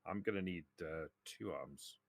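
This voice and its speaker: Low-voiced man, very dry sound. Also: a little slow, vocal fry, no echo. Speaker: participant in a tabletop roleplaying game. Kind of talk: casual game talk.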